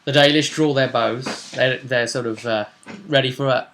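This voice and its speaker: A man's voice: medium pitch, steady tone, normal speed, fairly bright tone, a little hiss or hum. A man casually recounting a tabletop role-playing session as its game master.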